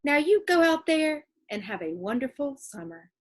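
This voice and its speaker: A woman voicing an older female schoolteacher who is proper, commanding yet kindly, speaking in a deliberate, formal, emphatic way for a storybook. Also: high voice, big pitch swings, pitched above the performer's own voice. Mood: proud, encouraging.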